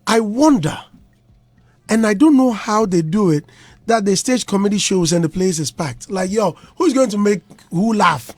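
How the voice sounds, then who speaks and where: High-voiced male interviewer, dry sound. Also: animated, moderate pace, no room sound. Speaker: interviewer, broadcast host. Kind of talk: casual broadcast interview.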